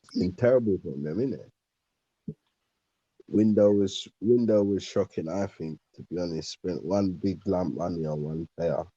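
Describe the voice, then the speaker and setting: Male podcast panellist, dark husky tone, exasperated slangy banter, dull dry audio. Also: low voice, moderate pace, dry recording. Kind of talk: casual football banter.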